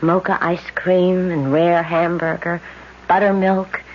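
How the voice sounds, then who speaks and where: A woman's voice: lowish voice, little pitch movement, a little hiss or hum. An actress playing a young woman in a hypnotic trance, repeating her brother's words in a 1970s radio drama. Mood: entranced. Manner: dazed, slow, repeating like in a trance. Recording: dull lo-fi old radio broadcast.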